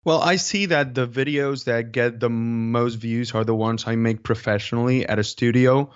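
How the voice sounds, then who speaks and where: A man's voice: mid-range voice, fairly even intonation, moderate pace, clean tone. Spanish-accented man, dull lo-fi sound. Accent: Spanish-speaking. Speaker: interview guest, video creator. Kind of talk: conversational interview answers. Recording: dull lo-fi call audio.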